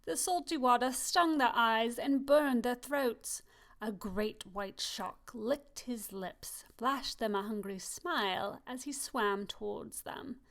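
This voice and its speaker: A woman reading a children's picture book aloud, expressive and slowing down on key phrases. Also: fairly high pitch. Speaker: storybook reader. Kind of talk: children's story read-aloud.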